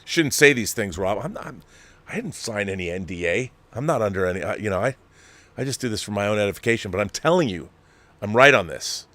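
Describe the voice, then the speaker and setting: A man's voice: fairly low pitch, lively intonation, fairly quick, fairly bright tone, no room sound. An American man in his fifties hosting a casual, rambling livestream chat, speaking emphatically and insistently and often repeating phrases. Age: 50s. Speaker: online show host and film producer.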